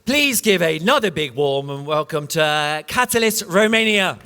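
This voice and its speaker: A man hosting an event, making brisk announcements from the stage. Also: fairly high pitch, animated, sharp tone, smooth. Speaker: event host.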